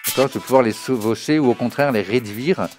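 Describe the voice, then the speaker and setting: A man giving an informal spoken software tutorial in a didactic way, often checking that the listener follows. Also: mid-range voice, brisk. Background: synthesizer and music demo sounds.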